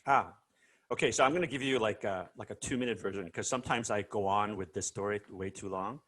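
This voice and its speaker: Low-voiced man telling stories, full of fillers. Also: fairly quick. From South Korea. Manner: relaxed storytelling with many fillers and restarts. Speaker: guest musician. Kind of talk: casual conversational interview.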